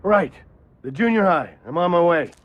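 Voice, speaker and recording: An actor playing a brash, sardonic middle-aged photojournalist hero in a video game, wry, blunt and insistent. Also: male voice, sits high, lively intonation, moderate pace, muffled recording. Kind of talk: video game cutscene acting.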